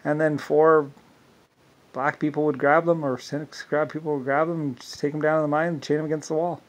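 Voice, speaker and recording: Brief: male vlogger, higher-pitched, rambling delivery full of restarts and asides. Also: little pitch movement, brisk, low-quality recording. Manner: meandering, restarts often, many "anyway" asides. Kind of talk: rambling solo monologue.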